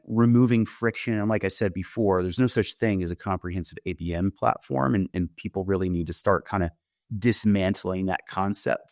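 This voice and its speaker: Deep-voiced man explaining a business product. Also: dry recording, studio quality. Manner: explanatory, often tags points with "right?". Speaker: company founder demonstrating software, interview guest. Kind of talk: conversational sales pitch explanation.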